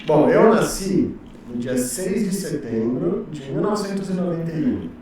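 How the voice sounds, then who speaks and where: Relaxed man, echoey room. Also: fairly high pitch, moderate pace, crowd noise. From São Paulo, Brazil. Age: 30s. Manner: relaxed, chatty, joking. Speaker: guest speaker, communication coach. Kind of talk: casual storytelling interview. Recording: echoey room, mic far off.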